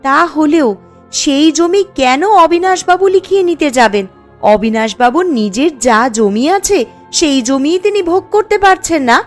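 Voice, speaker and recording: An actress playing a caring, sensible young village wife in a cartoon story, earnest and chatty. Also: female voice, sits high, animated, moderate pace. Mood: doubtful, reasoning. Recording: music under the voice.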